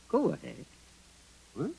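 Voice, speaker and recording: An actor playing a cold, smug alien invader posing as a human in a 1950s radio drama, formal and condescending. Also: male voice, fairly high pitch, creaky, mellow, slightly rough, heavily acted, low-quality recording.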